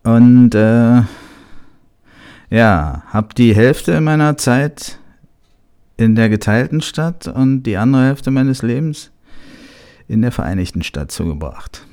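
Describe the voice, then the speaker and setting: A man in his fifties from West Berlin, telling his own life stories in a relaxed way with wry humor. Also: medium pitch, slow pace, long pauses, clear, resonant tone. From West Berlin, Germany. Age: 50s. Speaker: interviewee. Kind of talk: personal reminiscence.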